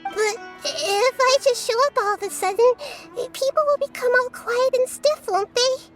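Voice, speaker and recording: A gentle little goddess, with a very high, childlike voice over prominent music. She speaks earnestly in considerate, poetic phrases, like a wise young deity in a fantasy game. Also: moderate pace. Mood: hesitant, worried. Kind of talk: video game voice acting.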